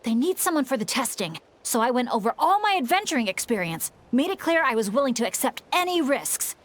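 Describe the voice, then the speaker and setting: A woman's voice: high voice, sing-song, brisk, slight background noise. A woman voicing an earnest, clumsy young male adventurer who keeps pleading to be allowed to help, in a video game. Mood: eager.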